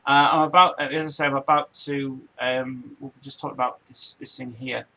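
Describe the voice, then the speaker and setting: A man's voice: medium pitch, steady tone, moderate pace, vocal fry, bright, forceful tone. An Englishman in his forties hosting a casual chat show from home, talking in a loose, meandering way that circles back and repeats itself. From England. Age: 40s. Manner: loose, meandering, repeats himself and restarts often. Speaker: show host.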